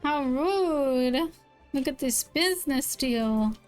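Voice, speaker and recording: Woman, high voice, slow pace, playful casual gameplay reactions, short remarks, soft music behind. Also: animated. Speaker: game streamer.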